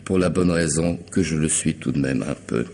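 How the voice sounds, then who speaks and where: A courteous, half-mad contract killer with a very low, creaky voice. He is mocking and insinuating, taking his time in an old radio mystery. There is faint noise on the recording. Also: a little mellow. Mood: teasing menace. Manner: mocking, unhurried, falsely polite. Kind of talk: French radio mystery drama acting.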